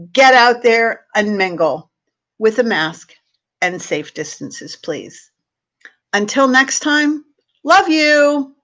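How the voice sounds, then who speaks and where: A woman's voice: medium pitch, a bit bright. A woman reading a humorous piece aloud as a library host, with playful, theatrical emphasis. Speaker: library staff reader hosting a read-aloud. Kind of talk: expressive read-aloud of a humorous short piece.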